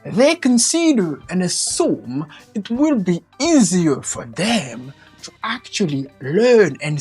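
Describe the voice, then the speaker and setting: A man teaching English in a slow, measured and emphatic way, recorded from a distance. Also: on the high side, husky. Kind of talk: slow, deliberate lecture.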